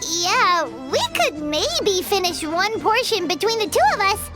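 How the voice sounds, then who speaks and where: A woman voicing a small, excitable, dramatic fairy companion with a squeaky, exclamatory delivery in a video game. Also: a little slow, loud music behind the voice. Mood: eager, doubtful. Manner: squeaky, refers to self in third person, exclamatory.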